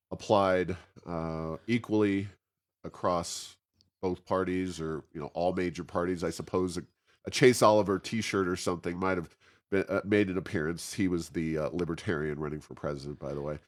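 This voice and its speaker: A man's voice: low-pitched, steady tone, normal speed. A man with an American accent hosts a casual interview, asking meandering, conversational questions with many hesitations and ums. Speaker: interview host.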